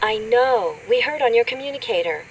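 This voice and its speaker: An actress playing a stern, demanding agency chief in a video game, with a commanding, urgent manner. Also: female voice, on the high side, lively intonation, a little fast, background noise, close to an everyday voice. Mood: businesslike. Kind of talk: video game cutscene acting.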